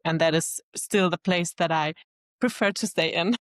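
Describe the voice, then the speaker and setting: Woman, low and close. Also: normal speed, no echo. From Germany. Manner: laughs easily, thoughtful, reflective. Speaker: guest, LinkedIn expert. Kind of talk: casual interview chat.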